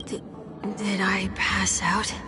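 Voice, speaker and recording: An actress playing an easygoing, groggy young woman in a video game, breathy and casual. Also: medium pitch, flat delivery, close to an everyday voice. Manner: unhurried, casual. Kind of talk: scripted video game voice acting. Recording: music behind the voice.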